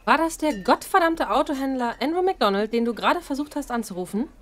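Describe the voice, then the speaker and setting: A woman's voice: high voice, animated, quick pace, fairly bright tone. A woman playing a nosy, feisty young bartender with a blunt, gossipy, colloquial way of talking. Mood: annoyed. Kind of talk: audio drama acting.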